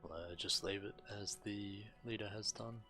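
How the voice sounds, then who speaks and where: A man giving casual, matter-of-fact and slightly halting gameplay commentary as a streamer, reading on-screen text aloud. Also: on the low side, fairly even intonation, pushed and bright, soft background music. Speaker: game streamer.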